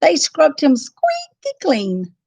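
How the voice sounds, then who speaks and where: A woman narrating a children's storybook in an expressive, dramatic and deliberate style. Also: high-pitched, creaky, no room sound.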